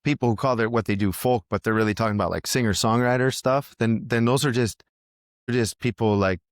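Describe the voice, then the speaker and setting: A man's voice: medium pitch, a little fast, no room sound, studio quality. A man, a musician, chatting casually in a podcast, thinking aloud with frequent restarts. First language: English. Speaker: musician guest.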